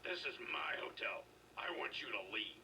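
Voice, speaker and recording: An actor playing a weary, protective middle-aged father who runs a hotel, earnest and gravelly, in a video game. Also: medium pitch, lively intonation, normal speed, bright, forceful tone, far from the mic, a little hiss or hum, exaggerated voice, rough recording. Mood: firm.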